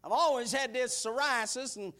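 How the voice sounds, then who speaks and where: A man in his sixties preaching a sermon, building rhythmic repeated lines into shouted bursts, then dropping to quiet, folksy asides. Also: very high-pitched, normal speed. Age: 60s.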